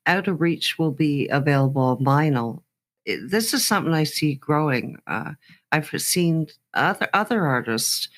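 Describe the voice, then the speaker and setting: Female radio-style interviewer, hosting a music show and putting questions to guests. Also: low-pitched. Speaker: host.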